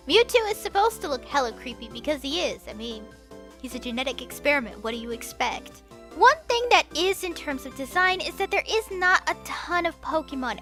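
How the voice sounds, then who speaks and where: Young woman, high bright voice, bouncy animated delivery, film review voice-over, soft music underneath. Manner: enthusiastic and expressive, with casual slang. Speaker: movie reviewer.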